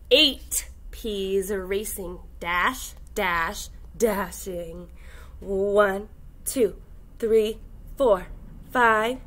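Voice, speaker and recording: Young female kindergarten teacher for a children's read-aloud lesson; bright, high voice, exaggerated and encouraging. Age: young adult. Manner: animated, exaggerated, encouraging, slow enunciation for small children. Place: indoors, classroom.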